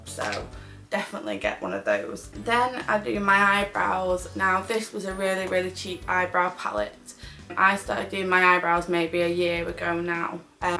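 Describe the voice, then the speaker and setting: Lower-pitched British woman, casual beauty vlogger. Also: bright, forceful tone. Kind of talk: casual chatty makeup product review.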